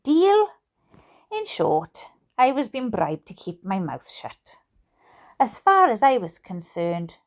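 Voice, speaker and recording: A Welsh woman reading a storybook aloud in an animated, amused manner. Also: mid-range voice. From Wales. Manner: animated, amused, prone to giggling. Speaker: reader of a bedtime book. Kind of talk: storybook narration read aloud.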